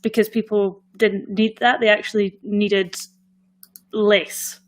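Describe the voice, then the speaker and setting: A woman's voice: medium pitch, flat delivery, takes it slowly. A woman with a Scottish accent, hosting a casual interview and chatting freely with many fillers. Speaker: podcast host.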